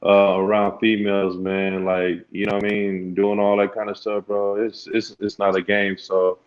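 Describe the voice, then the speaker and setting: A man's voice: fairly low pitch, completely flat delivery, moderate pace, clean tone. A man from California joining a casual live-stream talk, speaking in a laid-back, earnest way. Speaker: guest. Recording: muffled lo-fi stream audio.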